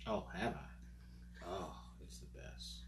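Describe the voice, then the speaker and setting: Very deep-voiced joking man. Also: slow. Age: 40s. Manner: joking, playful storyteller. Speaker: podcast co-host. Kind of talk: casual chat. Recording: roomy with faint hum.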